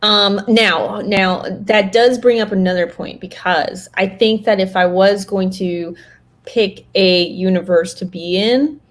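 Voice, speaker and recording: A woman's voice: mid-range voice, takes it slowly. A woman chatting casually as a podcast co-host, thinking aloud and correcting herself as she goes. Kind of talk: casual chat about fictional universes.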